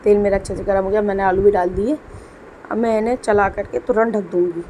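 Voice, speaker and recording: A woman's voice: mid-range voice, steady tone, fairly quick, warm tone, slight background noise, rough recording. Chatty young woman with a sore throat. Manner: chatty, explains as she works. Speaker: homemaker vlogger. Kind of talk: casual voice-over narration. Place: home kitchen.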